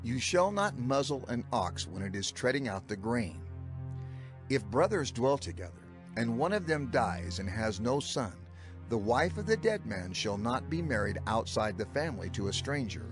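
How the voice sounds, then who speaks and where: An actor playing a solemn, commanding old male lawgiver in a dramatized scripture reading, with measured declamation. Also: mid-range voice, sing-song, lightly acted. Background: background music.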